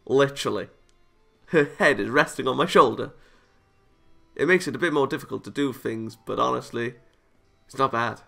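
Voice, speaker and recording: A man talks through a visual novel as a gaming host. His voice is bright and forward, with a good deal of fry. He rambles and halts as he gives his casual thoughts, with faint music underneath. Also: medium pitch, normal speed, pauses often. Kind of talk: visual novel read-aloud and casual commentary.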